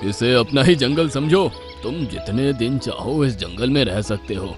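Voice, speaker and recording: A man's voice: mid-range voice, expressive, measured pace, over music, lightly acted. An actor playing a proud lion king of the jungle, hearty and trusting, in a children's cartoon. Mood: generous. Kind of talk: Hindi children's cartoon voice acting.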